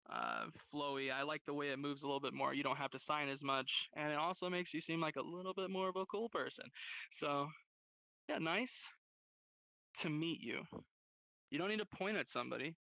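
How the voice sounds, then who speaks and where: A man's voice: a little high, sharp tone, clear, resonant tone, no room sound, highs cut off. A man teaching an informal sign language class in a casual, rambling, slangy way over online voice chat. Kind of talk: informal lesson. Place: online virtual class hub.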